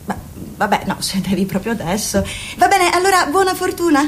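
A woman's voice: sits high, sing-song, fairly quick, a little hiss or hum. An actress playing a fussy, chatty middle-aged mother who nags her grown son and sells lipsticks by phone. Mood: sugary, flustered. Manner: nagging, pushy, overly sweet. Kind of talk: radio play acting.

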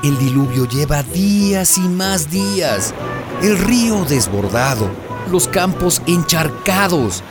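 Male radio drama storyteller, folksy and dramatic. Fairly high, expressive pitch over music. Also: slow pace. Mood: grave. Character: folksy male storyteller recounting a neighbourhood's disaster. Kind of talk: scripted radio drama narration. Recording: music playing behind.

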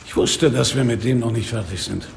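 An actor playing a weary, middle-aged police inspector in a radio drama, speaking and narrating in a dry, caustic manner. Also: male voice, mid-range voice, brisk, a little hiss or hum, natural voice. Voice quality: gravelly. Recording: reverberant, loud music behind.

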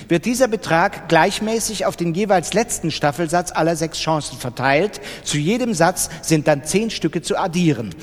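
A factual male announcer reads a manual in a radio play. His fairly high voice is instructional and carries long hall reverb. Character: dry male announcer explaining roulette betting systems. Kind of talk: scripted manual reading in radio drama.

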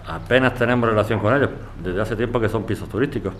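A man plays a talkative neighbour in a radio drama. He speaks quickly in a lowish voice, with slight noise around him. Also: lightly acted. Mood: earnest. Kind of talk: amateur radio drama acting.

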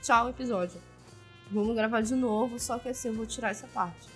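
Woman podcaster, kinda high voice, animated and self-mocking, faint music under it. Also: a little fast. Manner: animated, self-deprecating, laughs often. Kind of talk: casual podcast chat.

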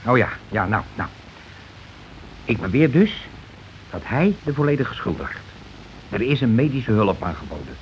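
A scheming working-class landlord, blustering and insistent, with a very animated pitch. He keeps repeating himself in colloquial bursts, in radio drama style. The old recording is dull, with some background noise. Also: moderate pace, pauses often. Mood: scheming, persuasive. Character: scheming, domineering, self-justifying working-class husband and landlord. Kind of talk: Dutch radio play acting.

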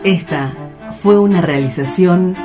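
A woman's voice: lowish voice, a little slow, over music. A woman with an Argentine accent chatting casually as a co-host on a radio show.

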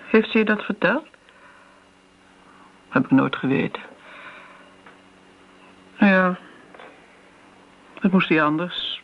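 An actress playing a genteel, self-righteous elderly woman, reminiscing and gently chiding in radio drama style. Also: on the low side, lively intonation, takes it slowly, long pauses. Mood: wistful. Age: older. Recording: muffled old radio play, background noise.

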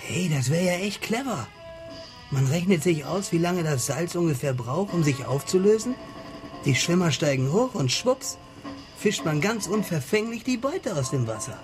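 A man's voice: on the high side, expressive, normal speed, over music, natural voice. An actor in a radio crime drama playing an eager, plotting boatman who talks in a keen, colloquial way. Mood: keen, scheming.

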